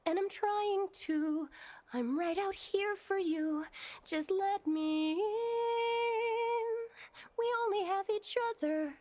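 A woman playing a lonely, pleading young princess in an animated game. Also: very high voice. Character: lonely, pleading young princess calling to her shut-away sister.

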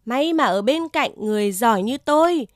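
A woman's voice: on the high side, lively intonation, normal speed, no room sound, studio quality, natural voice. A woman voicing a smug, boastful, idle horse in a cartoon fable. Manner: condescending, boastful.

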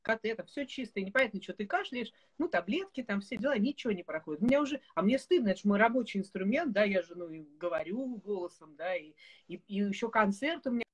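A woman in her forties hosting a casual video call chat, telling stories in an animated, laughing way. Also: mid-range voice, fast, breathy edge. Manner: lively storyteller, animated and laughing. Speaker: host. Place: home room with bookshelves. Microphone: video call webcam.

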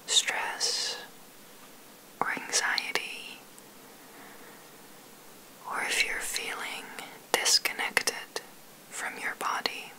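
A woman guiding an ASMR sleep meditation in a breathy whisper, soothing and gentle, unhurried with long pauses. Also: low-quality recording. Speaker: meditation guide, ASMR host.

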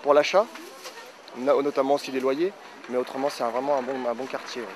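A middle-aged man answering a street interview outdoors, measured and full of fillers. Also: mid-range voice, fairly even intonation. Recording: noisy street crowd. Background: street crowd chatter.

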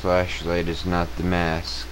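A man casually commentating his own gameplay, muttering to himself as he plays, with loud game music behind him. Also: deep voice, monotone, slow, heavy background noise. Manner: muttering, talking to himself while playing. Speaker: gaming channel host. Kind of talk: casual let's play commentary.

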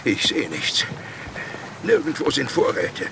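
An actor playing a hapless, sneaky, dim-witted cartoon villain who whines and exclaims to himself in a children's audio drama. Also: male voice, medium pitch, big pitch swings, slow, gravelly, background noise, full character voice. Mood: grumbling, busy. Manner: exclaiming, talking to himself, whining.

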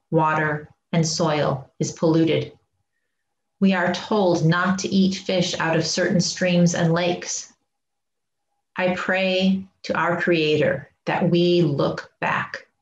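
A woman's voice: low voice, gravelly. A middle-aged woman reading aloud solemnly at home, as for a church service. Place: indoor home room. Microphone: webcam at desk distance. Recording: muffled, echoey room recording.

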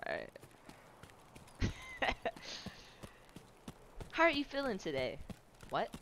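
Low-voiced woman streamer, laid-back and profane. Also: huge pitch swings, slow, fairly bright tone, a little hiss or hum. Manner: laid-back, swearing, chatting with viewers, laughing often. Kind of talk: casual chat while gaming. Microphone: headset.

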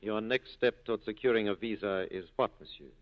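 An actor playing a courteous, politely cynical police captain in a 1940s radio drama. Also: male voice, on the low side, fairly quick, close to an everyday voice. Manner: courteous, smooth-talking, dry. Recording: dull 1940s radio recording.

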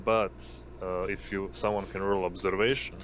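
A man running a tabletop game as game master, narrating in a halting way. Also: sits low, flat delivery, a bit bright. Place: indoor online voice session. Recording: noisy home recording, constant background hum.

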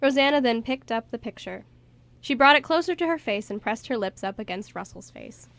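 A woman's voice: mid-range voice, fairly bright tone, no echo. A woman reading scripted story narration for a radio play.

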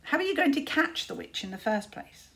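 A woman's voice: on the high side, sing-song, fairly quick, gravelly, a bit bright, heavily acted. A woman voicing a scornful older-brother boy wizard in a storybook, with sneering, drawling emphasis. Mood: challenging.

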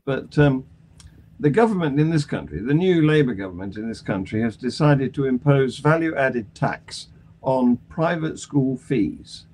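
An older British man hosting a conversational news discussion over a video call, phrasing his points in a measured, deliberate way. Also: mid-range voice, expressive, a little mellow. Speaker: panel discussion host. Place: small attic room at home.

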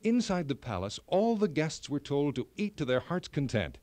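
A man narrating a children's fairy tale in an expressive, measured storytelling style. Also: on the high side, no echo.

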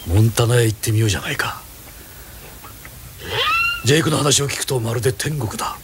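A man's voice: medium pitch, fairly quick, background noise. A middle-aged man dubbing film characters, stern and commanding, often menacing. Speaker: voice actor dubbing film roles. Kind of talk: film dubbing, dramatic acting.

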